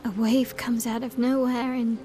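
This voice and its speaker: An actress playing a sorrowful, vulnerable young woman in a video game cutscene, hushed and hesitant. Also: on the high side, level tone, normal speed, faint music behind, lightly acted.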